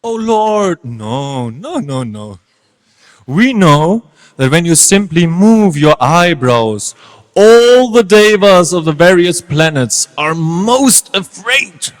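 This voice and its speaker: A man as a slow, pleading royal teacher. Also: high-pitched, big pitch swings, exaggerated voice. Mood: fawning, anxious. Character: anxious, deferential male teacher serving a demon king. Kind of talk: dramatic stage reading. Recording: reverberant hall.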